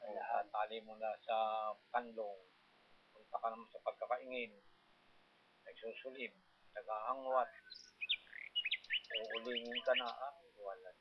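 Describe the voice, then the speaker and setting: A man's voice: fairly low pitch, steady tone, unhurried, long pauses, muffled recording, a little hiss or hum. An older man, reminiscing casually in a rambling, colloquial, demonstrative way. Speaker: farmer recalling his working life.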